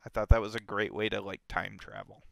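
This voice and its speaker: A man talks through a book in a relaxed, animated way, stopping and restarting his sentences. His voice is bright and crisp, the sound dry and close, and his pace is unhurried. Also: fairly low pitch, no room sound. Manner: animated, lots of fillers and restarts. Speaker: book club podcast host. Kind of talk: casual book discussion.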